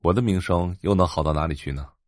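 A man playing a composed, resolute young rich heir in an audio drama, terse and calm. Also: low-pitched, fast talker, no echo, studio quality, lightly acted. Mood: cool, resolute.

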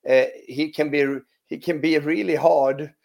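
A man's voice: a little high, steady tone, measured pace, creaky, darkish tone. Hesitant Swedish-accented man. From Sweden. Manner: hesitant, many restarts and "you know" fillers. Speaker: former footballer, guest. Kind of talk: relaxed interview answers.

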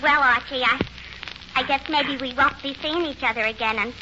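An actress playing the plain, tart and gullible daughter of a tavern owner in 1940s radio comedy style. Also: female voice, high-pitched, moderate pace, close to an everyday voice. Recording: muffled, noisy 1940s radio recording.

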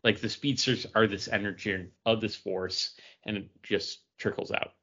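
A man's voice: on the low side, steady tone, normal speed, sharp tone. A man co-hosting a podcast, chatting casually about comic books. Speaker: podcast host. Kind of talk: casual comic book review chat. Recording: dull, lo-fi sound.

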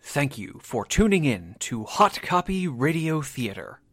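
A man's voice: on the high side, sing-song, measured pace, fairly bright tone, no echo, clean studio sound. A man working as announcer, reading scripted closing credits for a radio drama.

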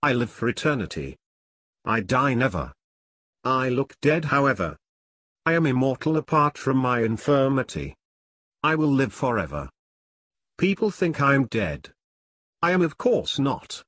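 Man playing a mournful, dramatic Grim Reaper, swooping pitch. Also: sing-song, measured pace, long pauses, fairly bright tone, no echo. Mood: brooding, mournful. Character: the Reaper, sad immortal lord of the dead. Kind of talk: dramatic storytelling podcast.

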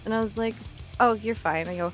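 A woman's voice: mid-range voice, brisk, faint music behind. Laughing, swearing woman telling stories. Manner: animated storyteller, swears freely, laughs often. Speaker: podcast host. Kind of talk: casual chat.

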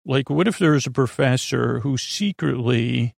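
A man hosting a bedtime podcast in a meandering, hesitant, reassuring monologue, with a creaky voice. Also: moderate pace, no echo, clean studio sound. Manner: low-pressure, reassuring. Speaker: podcast host.

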